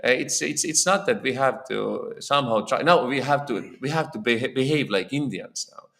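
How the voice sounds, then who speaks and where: A man's voice: medium pitch, animated, moderate pace, vocal fry, a bit bright. A man with an Estonian accent gives an informal religious lecture in a temple, speaking earnestly and often repeating words while he searches for phrasing. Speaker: lecturer on scripture. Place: temple hall.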